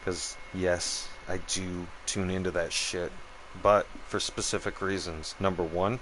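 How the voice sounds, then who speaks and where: Deep-voiced, foul-mouthed man ranting. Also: fairly even intonation, measured pace, background noise. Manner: profane, heated, keeps checking in with "right?" and "okay?". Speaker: live streamer. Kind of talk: angry political rant on a live stream.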